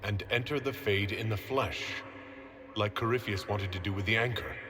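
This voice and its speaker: A man's voice: fairly low pitch, normal speed, background noise, lightly acted. A male actor playing a direct, earnest heroic leader in a video game cutscene. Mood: earnest, questioning. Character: commanding heroic adult male leader of an inquisition. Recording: music behind the voice.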